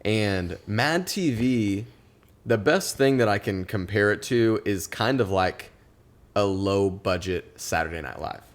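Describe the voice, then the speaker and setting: A man's voice: fairly low pitch, animated, unhurried. A young man co-hosting a podcast, chatting casually in a rambling, laughing way. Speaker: podcast co-host. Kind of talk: casual chat. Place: podcast studio.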